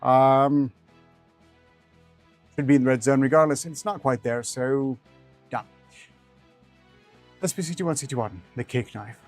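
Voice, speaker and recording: A man's voice: mid-range voice, a little slow, pauses often, a little mellow, no room sound. A man reading fiction aloud as a streamer, with dry, rambling asides in formal wording. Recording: music behind the voice.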